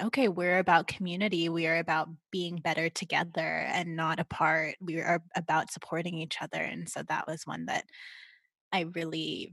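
Woman, low-pitched, earnest and thoughtful, dry close sound. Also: creaky, a bit bright, no echo. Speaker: guest in a group conversation. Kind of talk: casual chat.

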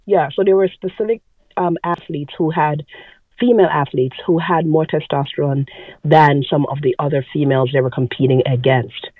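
A woman with a deep voice, earnestly correcting others in a casual podcast chat. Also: steady tone, normal speed, dry recording, phone-quality sound.

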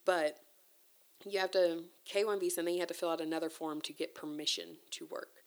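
A woman's voice: fairly low pitch, steady tone, fairly quick. A woman guest talking casually and candidly, telling a personal story in conversation. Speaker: interview guest.

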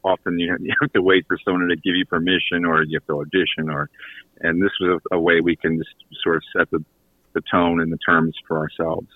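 A man chatting casually as a guest in a radio interview, halting as he searches for his words. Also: low-pitched, level tone. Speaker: guest, audio theater creator and writer. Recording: echoey, tinny phone-line connection.

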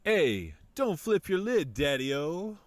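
A man's voice: fairly high pitch, sing-song, slow pace. A man playing a cocky, jive-talking 1950s greaser in a spoof radio commercial. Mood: impatient, scornful. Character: cocky 1950s greaser hepcat, slick and impatient.

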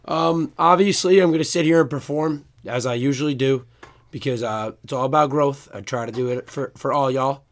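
A man co-hosting a sports podcast with a hoarse voice, rambling and joking, often trailing off into a quiet mutter. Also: mid-range voice, moderate pace. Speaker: podcast co-host. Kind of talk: casual sports chat.

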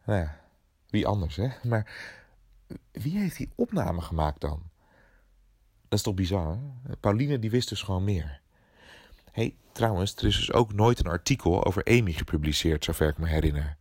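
Puzzled colleague, hesitant informal voicemail, animated pitch, dry sound, audio drama. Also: male voice, moderate pace, slightly rough, dry recording, heavily acted. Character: worried, puzzled male colleague at local newsroom.

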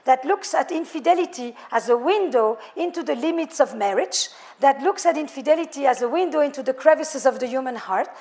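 A woman's voice: high-pitched, expressive, fairly quick, hardly any pauses, darkish tone. A middle-aged woman with a French accent, a couples therapist speaking to a live audience in a thoughtful way, piling up lists of words. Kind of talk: public talk to a live audience.

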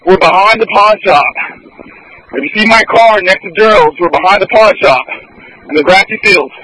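A male police officer reports from the field over two-way radio. His voice is fairly high and sharp, with terse, urgent phrasing shaped by the radio transmission. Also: normal speed, low-quality recording.

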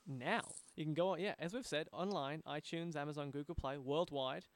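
Australian male podcast host with gravelly edge. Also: fairly high pitch, a little fast, slight background noise. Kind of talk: conversational podcast interview.

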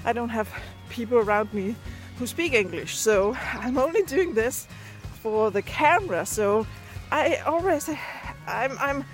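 Higher-voiced Danish woman chatting casually. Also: lively intonation, measured pace, few pauses, soft background music. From Denmark. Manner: hesitant, searching for words. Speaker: video and podcast creator. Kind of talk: casual vlog chat. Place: outdoors, on a walk. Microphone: handheld device at arm's length.

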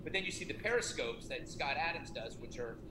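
Middle-aged male author, rambling self-deprecating talker, somewhat high voice, echoey noisy room. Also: animated, a little fast. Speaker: author promoting a self-help book. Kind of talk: casual conversation. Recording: echoey room with noticeable noise.

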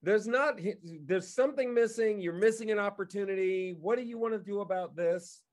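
A man with a fairly high voice chats casually and tells stories. He is animated and slips into quoting people as though acting out their remarks. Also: normal speed. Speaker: guest co-host and theatre director. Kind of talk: casual storytelling in conversation.

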